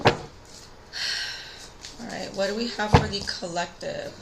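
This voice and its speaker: A woman in her thirties with a raspy, tired voice, chatting casually to viewers as she reads tarot cards. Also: medium pitch, unhurried, very noisy. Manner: chatty, talks straight to viewers. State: tired voice from singing. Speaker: tarot reader. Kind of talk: casual spiritual reading.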